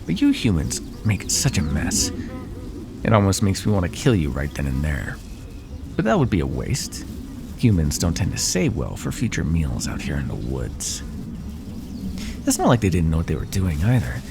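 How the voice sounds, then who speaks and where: A man's voice: low voice, wildly swooping pitch, normal speed, creaky, music in the background, exaggerated voice. A man voicing a smug, predatory naga who teases and threatens with mocking condescension in an ASMR fantasy roleplay. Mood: casual menace. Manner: mocking, condescending, drawling pet names. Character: smug predatory male naga, teasing and menacing.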